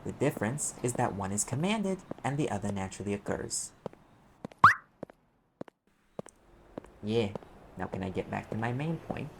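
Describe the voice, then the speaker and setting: A man's voice: on the low side, animated, brisk, gravelly, heavy background noise. A man playing a sarcastic, impatient private eye mage in an audio roleplay, explaining things in a conversational, exasperated way. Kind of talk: audio roleplay acting, one side of a dialogue.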